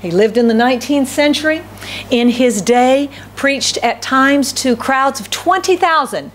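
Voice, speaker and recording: A middle-aged American woman pastor preaching a sermon from a podium in a church hall, with a loud voice, repeating key phrases and asking the congregation questions. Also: high voice, measured pace, a little hiss or hum. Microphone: podium microphone.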